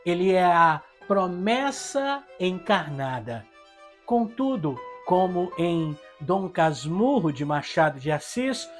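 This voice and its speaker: A Brazilian woman reading a scripted literary review in a solemn, reflective and rhetorical manner. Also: mid-range voice. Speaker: book reviewer. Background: guitar music.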